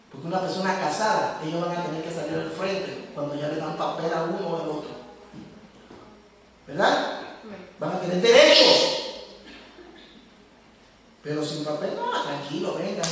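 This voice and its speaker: A man's voice: a little high, a bit bright, slight background noise. A man preaching a sermon as a pastor, talking directly to his congregation in a conversational way. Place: church hall. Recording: echoey hall from far off.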